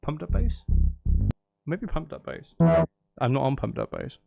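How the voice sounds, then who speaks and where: Male streamer, casual and thinking aloud, animated pitch with some fry, music-making session. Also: measured pace, dull audio. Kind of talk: casual livestream chat while making music. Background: electronic drum beats and synth sounds.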